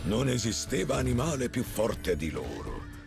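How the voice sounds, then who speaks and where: An actor voicing an old, wise animal who tells tales slowly and gravely in a children's cartoon. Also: male voice, fairly low pitch, huge pitch swings, heavily acted. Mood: grave, solemn. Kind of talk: scripted cartoon storytelling. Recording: music behind.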